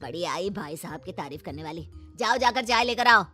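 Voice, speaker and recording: A woman voicing a grumpy, sarcastic character in an animated moral story, curt and scornful. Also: on the low side, moderate pace, faint music behind, voice pushed down. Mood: jealous, sarcastic. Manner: sneering, curt.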